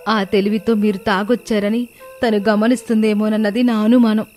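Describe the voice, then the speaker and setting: Mellow, plaintive long-suffering wife, played by a woman. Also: medium pitch, level tone, moderate pace, faint music behind, natural voice. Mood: worried reproach. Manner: plaintive, earnest. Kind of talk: dramatised moral story dialogue.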